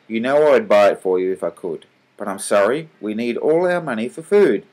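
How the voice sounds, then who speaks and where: Kindly old grandmother voiced by a man, gentle, apologetic, storybook voice. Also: on the low side, expressive, normal speed, natural voice, rough recording.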